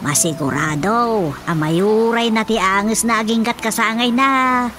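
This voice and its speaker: Chatty, fretful Ilocano wife. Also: female voice, on the high side, measured pace, a bit bright, faint music behind. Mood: concerned. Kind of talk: Ilocano radio comedy drama acting.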